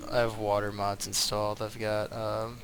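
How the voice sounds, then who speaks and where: A man giving casual live video game commentary as a streamer, rambling and thinking aloud with halting pauses. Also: lowish voice, little pitch movement, background noise.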